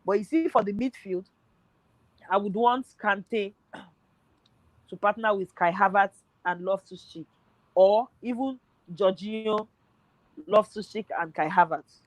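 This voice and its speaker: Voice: medium pitch, slow pace, long pauses, no room sound, low-quality recording. Football pundit, hesitant, voice worn thin, dry recording. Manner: hesitant, thinking aloud with many fillers. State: voice tiring, nearly gone. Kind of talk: casual football podcast chat.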